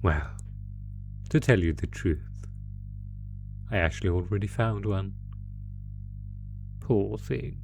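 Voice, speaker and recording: A man voicing a sadistic, theatrical monster who taunts a prisoner in a horror roleplay, talking with drawn-out pauses. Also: on the low side, vocal fry, full character voice. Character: sadistic, gloating male monster stalking its prisoner through a maze. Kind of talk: ASMR horror roleplay audio drama.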